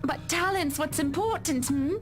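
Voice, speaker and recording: High-voiced, poised wealthy socialite played by an actress. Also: female voice, moderate pace, soft background music, natural voice. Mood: politely displeased. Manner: sweetly polite, commanding, uses endearments. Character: poised, wealthy married socialite woman, gracious and condescending. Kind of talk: visual novel voice acting.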